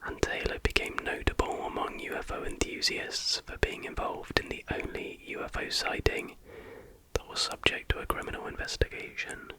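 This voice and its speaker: An ASMR host speaking in a breathy, unvoiced whisper, reading aloud in an unhurried, informal way. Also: bright, forceful tone, rough recording.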